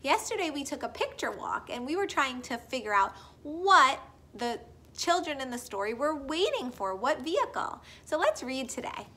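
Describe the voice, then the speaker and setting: A young woman teacher reading to small children, expressive and full of questions to her listeners. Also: high-pitched, normal speed. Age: young adult.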